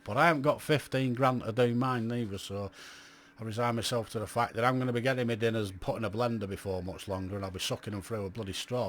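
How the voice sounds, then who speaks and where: A man's voice: medium pitch, fairly bright tone. A middle-aged man, talking to viewers in a blunt, chatty, rambling vlog about his amateur radio hobby and asking them many questions. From England. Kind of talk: casual vlog monologue to viewers.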